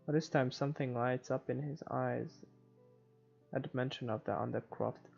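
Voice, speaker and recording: A man reading visual novel narration aloud for a playthrough, in an informal, somewhat halting way. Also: medium pitch, steady tone, vocal fry, a little mellow. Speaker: video game let's player. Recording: slightly muffled, music underneath.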